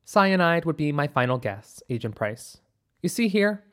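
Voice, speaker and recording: A narrator voicing a composed, observant woman doctor with measured, precise speech and a wryly playful streak in an audiobook story. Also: sits low, very animated. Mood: clinical, assured.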